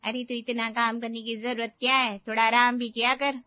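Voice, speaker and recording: A woman's voice: a little high, flat delivery, moderate pace, no room sound, muffled recording, lightly acted. A woman voicing a middle-aged mother, sympathetic and coddling, in a family story. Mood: doting sympathy. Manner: coddling, exclaiming. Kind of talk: Hindi family story acting.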